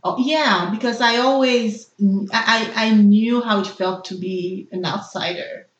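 A woman's voice: a little high, a little slow, fairly bright tone, roomy sound, lo-fi sound. A young woman from Haiti whose first language is Haitian Creole, speaking as a nurse and interview guest, thoughtful and hesitant, with many fillers. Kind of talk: personal interview.

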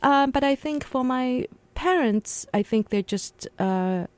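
A woman from Shanghai, China, speaking haltingly and reflectively as a guest on a radio interview, recorded in a radio studio. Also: high voice, lively intonation, a little mellow, dry recording. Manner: halting, reflective, many pauses and restarts.

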